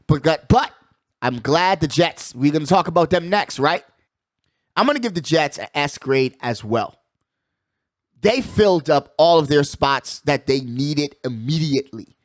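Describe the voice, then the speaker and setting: An animated male sports host with a high voice that moves up and down freely, recorded close and dry. He speaks in a casual, slangy, emphatic style, repeating phrases as he pushes his opinions. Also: no echo.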